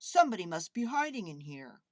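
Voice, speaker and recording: A woman voicing a brisk, puzzled male night watchman in a children's storybook, exclaiming as he talks. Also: on the low side, very animated, dry recording, clean studio sound. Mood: startled suspicion. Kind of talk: children's storybook read-aloud.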